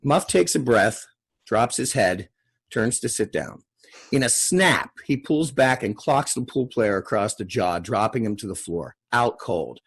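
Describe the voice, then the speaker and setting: A man's voice: on the low side, lively intonation, a little slow, gravelly, a bit bright, slightly rough. A man hosting and reading stage directions at a screenplay table read, with casual delivery. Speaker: host and stage-direction narrator. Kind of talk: scripted screenplay narration and casual introductions.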